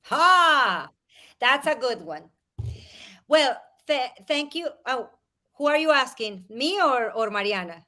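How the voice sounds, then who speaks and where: High, bright woman. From Spain, raised in Venezuela. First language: Spanish. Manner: animated, expressive, quick to laugh. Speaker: guest life coach. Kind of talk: live interview, explaining a method.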